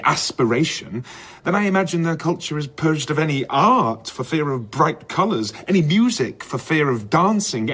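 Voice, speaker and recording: An actor playing a worldly, sceptical gentleman in an audio drama, arguing in an assured, rhetorical way. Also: on the high side, breathy edge, full character voice.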